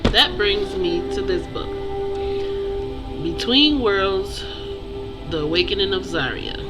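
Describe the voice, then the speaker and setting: Slow, deliberate speaker over loud music. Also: mid-range voice, huge pitch swings. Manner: slow, deliberate, halting delivery in short phrases with pauses; earnest, inspirational. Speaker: author and creator introducing own book. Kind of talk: personal, reflective talk to viewers about own book.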